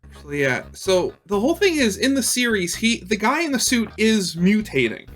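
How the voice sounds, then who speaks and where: A woman giving casual, unscripted reaction commentary in a halting, rambling way that trails off. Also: medium pitch, big pitch swings, soft background music.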